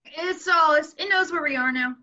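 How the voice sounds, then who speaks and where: A woman's voice: high-pitched, normal speed, pushed and bright, lo-fi sound. A woman reading the part of an anxious, jittery teen boy, pleading and nervous, at an amateur table read.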